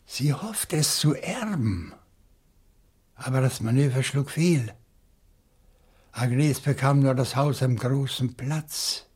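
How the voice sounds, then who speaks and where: Husky, deliberate professor sleuth. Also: male voice, medium pitch, darkish tone. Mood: pondering. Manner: deliberate, theatrical, reasoning step by step. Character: shrewd, indignant male professor turned amateur sleuth. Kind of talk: German radio crime play acting.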